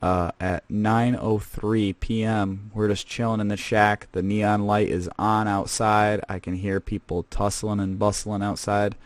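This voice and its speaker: A man's voice: on the low side, level tone, a little slow, hardly any pauses, no room sound, natural voice. A man playing a rambling, casual male scavenger who hosts a comedic in-character podcast. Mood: chatty. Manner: rambling and casual, lots of fillers. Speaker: host.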